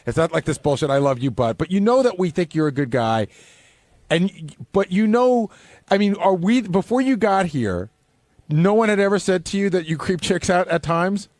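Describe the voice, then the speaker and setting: Man, expressive pitch, insistent, casual radio talk, dry close sound. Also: normal speed, no room sound. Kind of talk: casual studio discussion.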